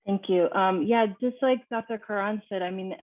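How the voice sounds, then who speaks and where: A woman speaking calmly on a video-call panel. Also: mid-range voice, moderate pace, no echo. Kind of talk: panel discussion. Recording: dry tinny phone-like line.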